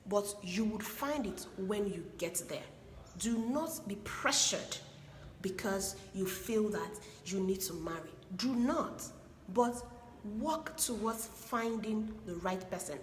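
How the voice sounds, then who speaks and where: A woman with a fairly high voice talks in an emphatic, preachy way and circles back to drive each point home. Her voice rings through a roomy space with a long reverb tail, and a faint hiss sits behind it. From Igbo land, Nigeria. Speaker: relationship advice speaker. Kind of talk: earnest advice monologue.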